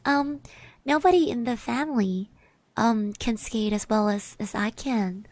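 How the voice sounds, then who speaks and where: A woman voicing a shy, sweet, chatty girl classmate in a soft-spoken, hesitant ASMR roleplay. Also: fairly high pitch, a little slow, dry recording, natural voice. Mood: fond reminiscing. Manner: hesitant, gentle, refers to herself in third person.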